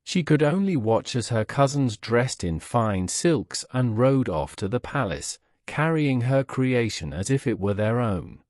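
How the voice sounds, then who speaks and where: A man's voice: mid-range voice, very animated, unhurried, hardly any pauses, darkish tone, dry recording, clean studio sound. A man narrating a scripted bedtime story as a storyteller.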